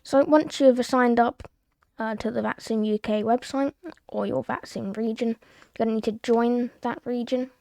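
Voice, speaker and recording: A woman's voice: fairly high pitch, fairly even intonation, moderate pace, creaky, dark tone, dry recording. A woman from the UK with a British accent, presenting a casual tutorial in a plain, step-by-step way. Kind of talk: casual screen-recorded tutorial narration.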